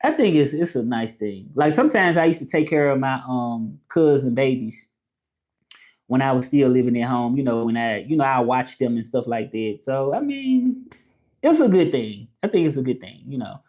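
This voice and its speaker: A man whose first language is English, hosting a casual livestream and reading viewer comments, talking haltingly with many fillers and restarts. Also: mid-range voice, animated, a little mellow. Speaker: livestream host.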